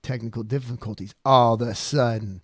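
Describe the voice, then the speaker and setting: A man co-hosting a podcast, enthusiastic and jokey, chiming in with quick affirmations. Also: mid-range voice, steady tone, slightly rough. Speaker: podcast co-host. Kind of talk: casual chat.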